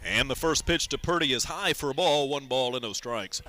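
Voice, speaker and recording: An American man calling a baseball game as a radio play-by-play announcer, in a measured, descriptive way. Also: mid-range voice, pushed and bright. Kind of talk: live sports radio commentary.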